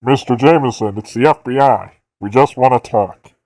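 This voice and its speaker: Man playing a calm federal agent, dry sound. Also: mid-range voice, normal speed, no echo, natural voice, poor audio. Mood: firm, calm. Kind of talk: audio drama acting.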